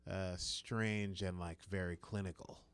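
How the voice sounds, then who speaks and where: A man's voice: low-pitched, steady tone, a little slow, clear, resonant tone, no echo. Chatty Brooklyn man. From Brooklyn, New York. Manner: chatty storyteller, lots of "like". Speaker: guest musician. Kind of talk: casual radio chat.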